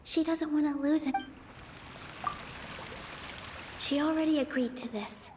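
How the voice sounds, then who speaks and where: An actress playing a gentle, earnest young woman performer in an anime video game, speaking softly and sincerely. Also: high voice, brisk, noisy background, lightly acted. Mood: hesitant.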